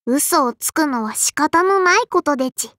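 A woman's voice: high-pitched, expressive, moderate pace, bright, forceful tone, no echo, studio quality. A woman voicing a small, strict but kindly and dutiful sparrow girl for an anime game, in a childlike lisping voice with formal, cutesy phrasing. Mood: prim, admonishing. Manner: formal yet cutesy, chirping verbal tics. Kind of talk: Japanese anime game character lines.

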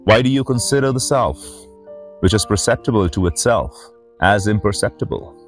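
A middle-aged man reading and commenting on scripture as a spiritual teacher, in a measured, reverent manner. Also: fairly low pitch. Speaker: spiritual teacher and channel host. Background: background music.

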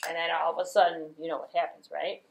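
A woman's voice: sits low, flat delivery, fast, gravelly, rough recording. A middle-aged woman telling a puppet-show story, talking conversationally to children. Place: small room indoors.

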